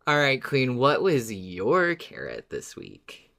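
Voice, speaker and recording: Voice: mid-range voice, huge pitch swings, slow pace, vocal fry, fairly bright tone. A podcast co-host in casual discussion, informal and agreeable, thinking aloud while talking.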